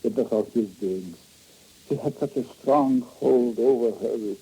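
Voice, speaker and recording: An actor playing a greedy, melodramatic foreign male rogue in old-time radio style, pleading and ingratiating in a halting, husky voice. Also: mid-range voice, dark tone, low-quality recording. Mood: earnest, begging. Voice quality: husky, breathy. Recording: old radio recording with faint music.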